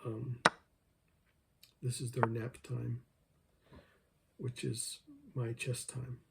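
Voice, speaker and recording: A man who is losing his voice, hoarse, thinking aloud over an online chess game and joking with himself as he plays. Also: mid-range voice, monotone, drawn-out words, very noisy. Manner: mutters his thoughts, talks to himself, jokes self-deprecatingly. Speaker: chess video creator.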